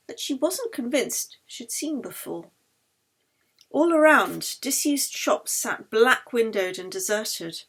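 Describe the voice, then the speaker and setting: A woman reading her own novel as expressive audiobook narration. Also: a little high.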